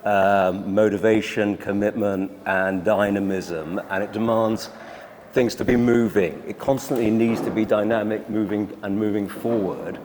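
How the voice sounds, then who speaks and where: Lower-voiced middle-aged man, earnest panelist. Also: fairly even intonation, unhurried, a little mellow, slight background noise. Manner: earnest, repetitive emphasis with restarts. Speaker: panelist, urban developer. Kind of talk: public panel talk.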